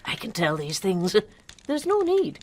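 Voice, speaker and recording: Swaggering impostor doctor, animated pitch swings. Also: female voice, a little slow, a little hiss or hum. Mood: boastful, charming. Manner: pompous, boastful, hesitant. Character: swaggering impostor woman posing as a male ship's doctor. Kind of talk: period radio drama acting.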